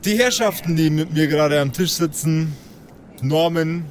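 A man's voice: on the high side, unhurried, a little hiss or hum. A man hosting a tabletop role-play casually as game master.

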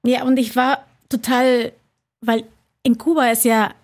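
High-voiced woman in her forties. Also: little pitch movement, unhurried. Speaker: podcast guest. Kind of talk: casual, candid podcast chat.

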